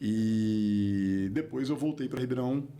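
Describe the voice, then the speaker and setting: A Brazilian man in his forties with a São Paulo state accent, speaking as a podcast guest. His voice has a gravelly edge, and he drives his points home by repeating them with lively emphasis. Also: lowish voice, a little slow. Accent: São Paulo state Portuguese. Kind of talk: casual interview talk.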